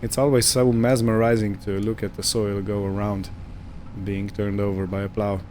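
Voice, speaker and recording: A man giving casual let's-play commentary on a video game, musing to himself in an unhurried way. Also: fairly low pitch, flat delivery, warm tone, slight background noise. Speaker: gamer narrating own farming simulator playthrough.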